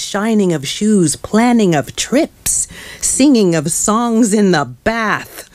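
Slow, mournful man reading aloud. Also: fairly high pitch, no room sound. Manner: slow, halting, mournful delivery in short phrases. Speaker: author reading from his novel. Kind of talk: dramatic literary reading. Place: small crowded bookshop, indoors. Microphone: microphone on a stand.

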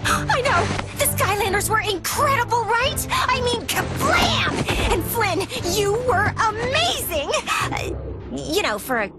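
Eager young heroine creature, American, high and animated, cartoon game with loud music. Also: female voice, slow, exaggerated voice. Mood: excited. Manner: eager, earnest, expressive. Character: spirited young female fox-like adventurer, earnest and free-spirited.

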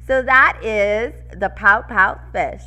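A young woman, a preschool teacher, reading aloud to small children in an animated, slightly scratchy voice. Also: medium pitch, slow, faint music behind. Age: young adult. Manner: animated, addressing small children, sing-song rhyme reading. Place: classroom-style room with decorated wall, indoors. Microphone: headset microphone.

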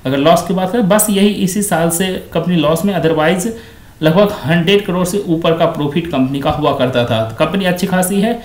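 A man with a high, light voice walks through company numbers on a screen recording, chatty and repetitive in his praise. The sound bounces around an echoey room, picked up by a desk microphone. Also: normal speed. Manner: conversational, repeats stock phrases like "quite excellent". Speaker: stock market video host. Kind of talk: screen-share stock review.

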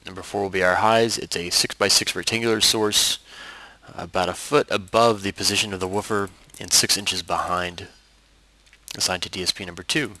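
A man narrating a software tutorial as an instructor, explaining things step by step. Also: deep voice, fairly even intonation, normal speed, no room sound, rough recording.

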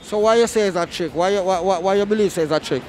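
A woman with a Jamaican accent, interviewing passersby on a city street with a handheld mic, firing off quick probing questions. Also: medium pitch, darkish tone, soft background music. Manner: quick probing questions, show sign-off catchphrases. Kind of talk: vox pop interviewing.

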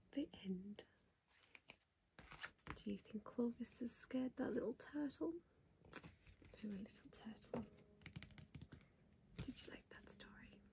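A woman reading a bedtime picture book to a child in a soft whisper, gasping and asking the child questions. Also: fairly high pitch, gravelly, a little hiss or hum. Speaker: bedtime story reader.

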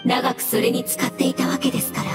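A woman's voice: quick pace, close to an everyday voice. A woman voicing a polite, cautious floating water fungus spirit in a video game, speaking formally and deferentially. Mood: polite, concerned. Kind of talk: video game character acting. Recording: echoing voice effect over music.

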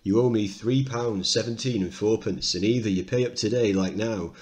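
A man's voice: fairly low pitch, moderate pace, darkish tone, natural voice. A man playing an irate, hard-nosed milkman, rude and impatient, in a play reading. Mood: irate, dismissive.

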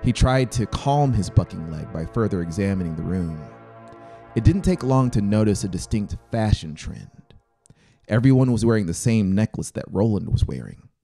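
A low-voiced man reads a story with measured, expressive delivery. His voice has a gravelly edge, and music plays clearly behind him as he speaks close to the microphone. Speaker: audiobook narrator. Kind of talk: scripted dramatic fiction narration. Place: small brick-walled home studio. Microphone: close handheld-style mic on boom stand.

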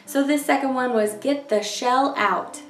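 A young woman with a hoarse voice, gushing in a chatty product review of nail polishes. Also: high voice, a little slow, reverberant, recorded from a distance, over music. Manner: gushing, chatty, keeps saying "you guys". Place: indoor room in front of polish shelves.

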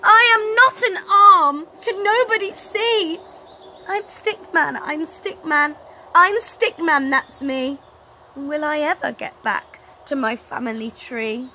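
A woman acts out a little stick man from a children's storybook, protesting in a high, bright voice. He is indignant and pleading, and every line comes out emphatic. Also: slow, slight background noise, heavily acted. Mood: exasperated.

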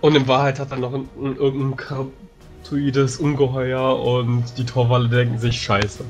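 A man's voice: mid-range voice, flat delivery, moderate pace, a little mellow, highs cut off, soft background music. A man playing a tabletop game, explaining a cavalry maneuver with frequent fillers. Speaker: player. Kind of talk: strategy discussion in a tabletop game.

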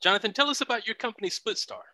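A man's voice: high-pitched, lively intonation, very fast, pushed and bright, no room sound. A middle-aged man co-hosting a podcast who puts short, friendly questions to the guest over a headset on a video call. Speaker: podcast co-host. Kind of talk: interview questions.

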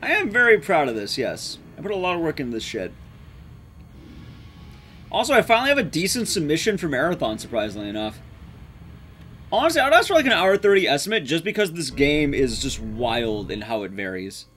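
A man's voice: on the high side, huge pitch swings, brisk, fairly bright tone, slight background noise. A young man giving casual live game commentary as a speedrun streamer, self-deprecating and swearing casually. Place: small indoor streaming room. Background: game music.

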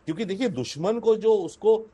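Older Indian-accented man, slightly high voice. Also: a little fast, mellow, breathy edge. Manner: mixes English phrases into Hindi, emphatic repetition. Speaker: foreign affairs expert guest. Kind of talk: analytical panel commentary. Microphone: video call.

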